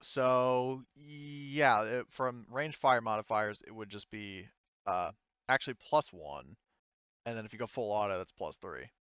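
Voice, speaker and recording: A man's voice: fairly low pitch, measured pace, fairly bright tone, clear, resonant tone, dry recording, lo-fi sound. A man working as game master, talking casually through rules and scene narration in an informal way.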